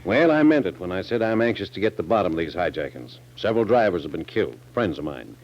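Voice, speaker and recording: An actor playing a genial, plainspoken older sheriff with an American accent in a 1940s radio drama. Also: male voice, deep voice, big pitch swings, fast, a little mellow, full character voice. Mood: earnest. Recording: dull 1940s radio recording.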